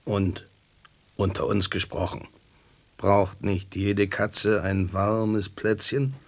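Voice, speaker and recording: A man playing a scruffy, proud stray tomcat in a radio drama, blunt and colloquial, with a rough voice. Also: lowish voice, flat delivery, takes it slowly. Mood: gruff, musing. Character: scruffy proud male stray cat, streetwise and independent.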